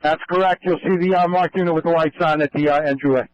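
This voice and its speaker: A man's voice: fairly high pitch, steady tone, fast, no room sound. A man working as a field police officer, reporting matter-of-factly over a two-way radio with hesitant phrasing. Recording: dull lo-fi police scanner radio.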